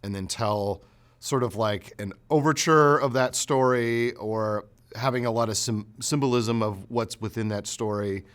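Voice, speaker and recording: Low-voiced man explaining in an interview. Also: a little slow, a bit bright. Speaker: interviewee, TV producer and animator.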